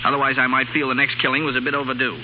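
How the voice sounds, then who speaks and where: An actor playing a sardonic, commanding fugitive in a 1930s radio drama. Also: male voice, mid-range voice, little pitch movement, rapid-fire, natural voice. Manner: bossy, sardonic, theatrically boastful. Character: brash fugitive man, commanding, sardonic, wrongly accused. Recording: muffled, hissy 1930s radio broadcast.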